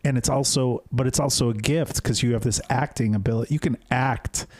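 A man's voice: medium pitch, brisk, no room sound. A man in his thirties hosting a casual podcast chat from a small studio on a close mic, teasing his guest and laughing easily. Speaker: podcast host. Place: small studio room, indoors. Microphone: studio mic with headphones.

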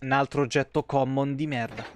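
A man's voice: mid-range voice, steady tone, fairly quick. A man in his thirties with a Roman Italian accent, giving casual, slangy live game commentary and chatting with viewers in rambling asides. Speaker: game streamer.

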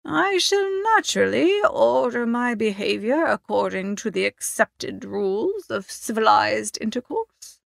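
Woman as a pompous old English duke, creaky. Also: fairly high pitch, lively intonation, a little slow, hardly any pauses, no echo, clean studio sound, heavily acted. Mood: stiff, haughty. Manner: pompous, stiffly formal. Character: stuffy, indignant, formal old English duke. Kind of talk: audiobook character reading.

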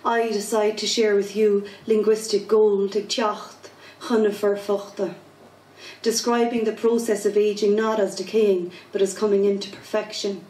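A woman's voice: medium pitch, little pitch movement, moderate pace, warm tone. A woman in her thirties with a Cork Irish accent, chatting casually and then reciting her poems in a rhythmic, self-deprecating way on a pub stage. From Ireland. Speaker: spoken word poet. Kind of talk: casual interview and poetry performance. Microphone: handheld mic, far camera. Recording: echoey pub from far off.

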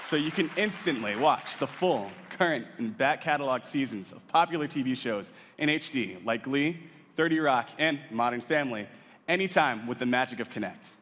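A man's voice: medium pitch, expressive, moderate pace, a bit bright, a faint crowd. A man giving a scripted product keynote, upbeat and salesy, with punchy lists. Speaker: keynote presenter. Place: large convention hall stage. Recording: reverberant hall sound.

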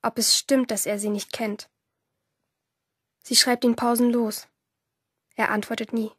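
High-voiced defiant teenage girl. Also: little pitch movement, measured pace, breathy edge, no echo, clean studio sound. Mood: conspiratorial narrating. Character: rebellious, jealous teenage girl swimmer, defiant and obsessive. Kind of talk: first-person audio drama narration and dialogue in a crime radio play. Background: music behind the voice.

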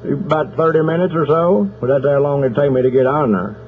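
Over a tinny, noisy phone line comes a man putting on an angry Southern country husband from Oklahoma. He rambles in a folksy drawl, deadpan and spoiling for a fight, in a slightly high voice. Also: fairly quick, lightly acted. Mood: threatening. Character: aggrieved, belligerent country husband threatening a whooping. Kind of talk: prank phone call.

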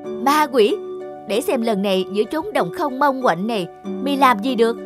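A woman voicing a spiteful, jealous young stepsister in a sneaky, shrill, tattling manner. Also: lively intonation, normal speed, over music, natural voice. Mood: jealous, angry.

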